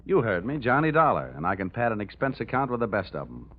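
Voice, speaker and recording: A man's voice: lowish voice, sing-song, fast talker. An actor playing a cocky, wisecracking insurance investigator in his thirties in a 1940s radio detective drama, with a wry, hard-boiled manner. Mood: wry, boastful. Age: 30s. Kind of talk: 1940s radio detective acting, first-person narration. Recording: dull old radio recording with music.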